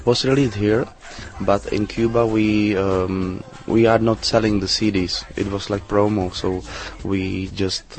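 A man, his voice sitting low and staying flat. He answers questions in halting, English, pausing on fillers and restarting his sentences. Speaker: interview guest, DJ. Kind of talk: casual interview answers.

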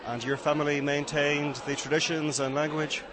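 A man's voice: on the high side, level tone, normal speed, noisy background. A male interviewer asking casual, curious questions at an outdoor event. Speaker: radio interviewer. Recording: on location with crowd chatter. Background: crowd chatter.

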